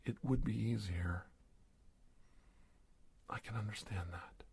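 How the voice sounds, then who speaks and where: A man playing a courteous, startled investigator doctor in an ASMR roleplay, speaking in a hushed, breathy, stammering way. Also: on the low side, heavily acted. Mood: astonished, bewildered. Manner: halting, stammering, formal and courteous. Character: startled, polite investigator doctor facing an alien. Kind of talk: ASMR roleplay monologue, soft-spoken and whispery.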